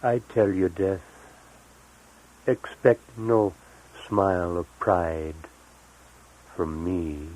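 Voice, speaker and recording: A man gives a poetry reading in a very deep voice. His pace is very slow, and he sets each phrase apart with deliberate pauses. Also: steady tone, darkish tone, low-quality recording. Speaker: poet. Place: live recorded reading, 1978.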